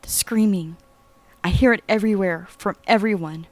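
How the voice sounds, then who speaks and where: A man's voice: high-pitched, unhurried, no room sound, voice pushed up. A man voicing a frightened young woman who pleads in a frantic, breathless way, with faint music behind. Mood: panicked.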